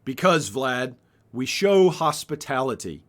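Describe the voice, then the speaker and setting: A man voicing a kindly, firm older farmer in a storybook, speaking in a measured, principled way. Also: mid-range voice, sing-song, fairly bright tone.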